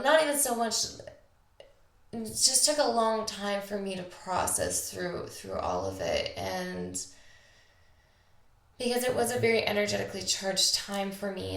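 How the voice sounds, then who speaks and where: A woman hosting a solo spiritual podcast, talking intimately and reflectively with a slightly raspy voice and drawn-out pauses. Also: medium pitch, very animated, a bit bright. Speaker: podcast host. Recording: echoey, roomy sound.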